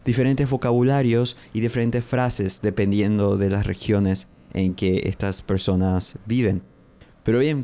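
A man, hosting a podcast English lesson and repeating phrases slowly and carefully for learners. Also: mid-range voice, dark tone, clean tone. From Paraguay. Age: 30s. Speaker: English teacher, podcast host.

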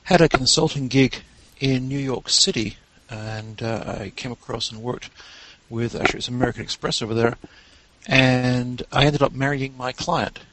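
English guy, guest storyteller, wry and relaxed, lots of ums. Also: medium pitch, normal speed, a little hiss or hum, poor audio. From England. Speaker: guest author. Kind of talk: casual interview chat.

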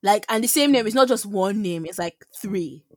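A young Nigerian woman with a mid-range voice co-hosting a casual podcast. She talks in an animated, teasing way and laughs readily. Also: medium pitch, moderate pace, no echo, studio quality. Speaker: podcast co-host. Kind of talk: casual chat.

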